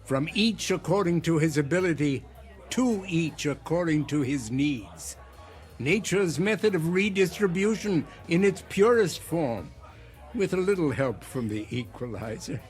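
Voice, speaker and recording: An actor playing a sinister, genteel older man in a radio drama, speaking in measured, savoring, ornate phrases. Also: fairly high pitch, expressive, soft background music. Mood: smooth, knowing.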